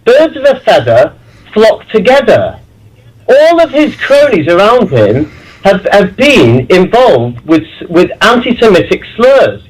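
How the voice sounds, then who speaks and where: A man's voice: high voice, very animated, unhurried, breathy edge, poor audio. A man from Leeds, calling in to a radio show and speaking emphatically and indignantly. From England (Leeds). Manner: emphatic, indignant, uses stock phrases. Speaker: caller. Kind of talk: phone-in call.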